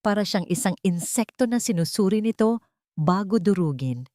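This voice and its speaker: A woman narrating a scripted dramatic story in a measured, theatrical way. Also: mid-range voice, expressive, dark tone, dry recording, clean studio sound.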